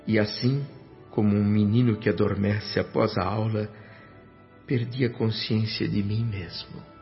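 A man's voice: lowish voice, fairly even intonation, measured pace, highs cut off. An actor playing an earnest, emotional spirit son who narrates his afterlife reflectively in a radio drama. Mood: tender, reflective. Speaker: narrator and protagonist. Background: music.

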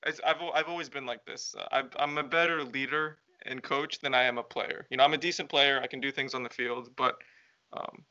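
A young man speaks in a relaxed, rambling way, tossing in fillers as he thinks. His voice is bright and forceful. The recording sounds dull and lo-fi. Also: mid-range voice, a little fast. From United States. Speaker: guest, campaign founder. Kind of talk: casual podcast interview.

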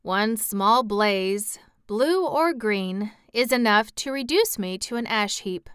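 Brief: a woman doing a sad, gentle straw man, slow and drawn-out, smooth and clear. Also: a little high, no echo, studio quality, voice pushed up. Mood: sorrowful. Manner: sorrowful, slow.

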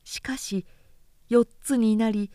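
A woman's voice: on the high side, steady tone, slow, dark tone, no room sound, clean studio sound. A woman narrator reading a literary story aloud as scripted narration.

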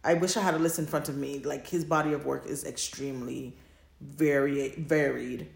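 A woman's voice: very deep voice, steady tone, moderate pace, creaky. A woman co-hosting a podcast in casual chat, playful and emphatic, with informal language. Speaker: podcast co-host. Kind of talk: casual chat about audio dramas. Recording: roomy, echoey sound.

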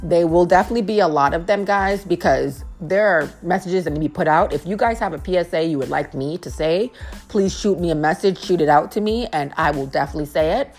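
A young woman hosting her own podcast, talking casually and earnestly, with a naturally low-toned voice and a habit of repeating phrases for emphasis. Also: fairly quick, darkish tone, faint music behind. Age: young adult. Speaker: podcast host. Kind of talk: casual heartfelt monologue.

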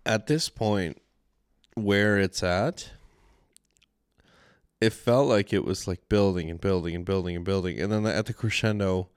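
A man's voice: low-pitched, clear, resonant tone, dry recording. Canadian man, solo podcast host, rambling, swears a lot, dry close mic. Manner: rambling, self-deprecating, frequent swearing. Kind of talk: casual solo podcast chat. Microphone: studio mic with mixer.

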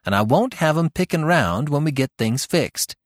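A man's voice: a little high, animated, normal speed, no echo, studio quality, voice pushed up, close to an everyday voice. A man voicing a bossy, spirited young farm girl who takes charge of the kitchen. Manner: commanding, spirited.

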